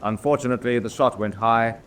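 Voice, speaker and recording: An actor playing a grave senior German naval officer held prisoner, speaking in formal, measured announcements in a solemn radio drama style. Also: male voice, mid-range voice, fairly bright tone, natural voice. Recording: noisy drama track with ambience.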